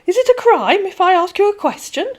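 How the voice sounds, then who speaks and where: Brief: a wheedling, stilted con man who turns bullying, played by a woman reader; high, swooping and overdone. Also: sing-song, fairly quick, darkish tone. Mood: pushy. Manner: stilted phrasing, syrupy darlings, sudden pushy demands. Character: pushy male online romance scammer, wheedling then bullying. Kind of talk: read-aloud storytelling.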